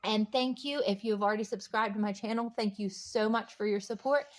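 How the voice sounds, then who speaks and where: A woman reading a children's storybook aloud in an encouraging way, pausing to ask young listeners simple questions. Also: medium pitch, steady tone. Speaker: children's book read-aloud host.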